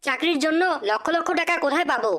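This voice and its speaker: A woman's voice: high voice, racing, pushed and bright. A woman voicing a cheeky, indignant young male job seeker in a comedy cartoon, with a sarcastic, challenging manner. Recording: music behind the voice.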